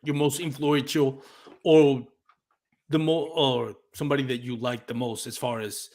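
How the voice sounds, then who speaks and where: A man with a Puerto Rican accent hosts a relaxed live podcast in a mid-range voice. English is his second language after Spanish, and he thinks aloud, pausing now and then to find a word. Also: medium pitch. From Puerto Rico. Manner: reflective, searching for words. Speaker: podcast host. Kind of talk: casual live podcast interview.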